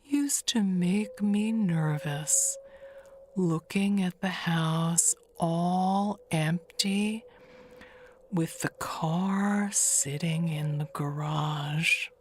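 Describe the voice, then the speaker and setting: An actress playing Agnes as a chatty, nervous, wistful middle-aged neighbor in an audio mystery, halting and rambling. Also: female voice, fairly low pitch, slight background noise. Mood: hushed, brooding. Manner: halting, rambling, trailing into hushed musing. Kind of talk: dramatic monologue in an audio mystery play.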